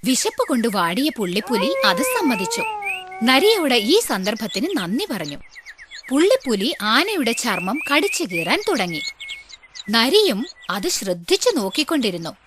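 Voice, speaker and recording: A woman's voice: fairly high pitch, sing-song, moderate pace, noisy background. A woman narrating scripted stories for children.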